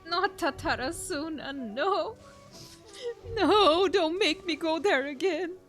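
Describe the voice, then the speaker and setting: A woman's voice: very high-pitched, slow. A woman streamer giving casual, chatty, reactive commentary while playing a game. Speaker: gaming streamer. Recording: game music clearly behind the voice.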